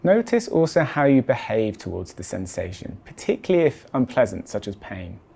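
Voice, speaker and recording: A man guides a body scan meditation at a mid-range pitch. His delivery is measured and deliberate, and his phrases settle softly at the ends. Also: very animated, creaky, slightly rough.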